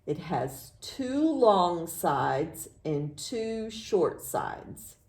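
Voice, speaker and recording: An American woman leads a storytime for young children. Her pitch moves widely in a singsong read-aloud style, and she takes her time. She poses simple questions, leaves room for an answer, and then affirms it. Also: very animated, extremely slow. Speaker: children's librarian, storytime host.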